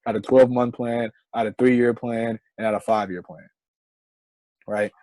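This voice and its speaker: A man's voice: mid-range voice, level tone, normal speed, warm tone, no echo, poor audio. Earnest young man giving business advice. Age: 20s. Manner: earnest, repeats "right?" as a tag. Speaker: guest entrepreneur. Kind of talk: online interview, motivational advice.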